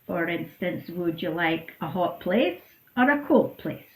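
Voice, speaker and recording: Church storyteller, woman; unhurried, animated bedtime reading. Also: on the low side. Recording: roomy echo.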